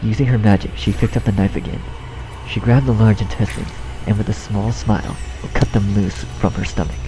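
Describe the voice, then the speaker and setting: A man's voice: on the low side, fairly even intonation, brisk, noisy background. A man reading a scripted story as narrator, in a dramatic storytelling style. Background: music.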